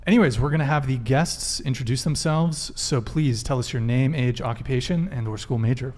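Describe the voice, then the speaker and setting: A man's voice: medium pitch, a little fast, a little mellow. A man hosting a podcast panel, running through announcements and questions with joking asides. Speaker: podcast host. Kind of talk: casual panel talk.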